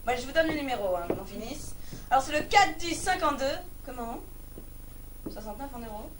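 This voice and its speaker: Actress doing a naive excitable lady in a one-woman comedy show, all indignant and dramatic, pitch all over the place, mic far off, noisy recording. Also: brisk. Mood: bantering, impatient. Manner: exclamatory, melodramatic, repeats words, talks to unseen listeners. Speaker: comic stage performer. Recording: distant mic, noisy live room recording.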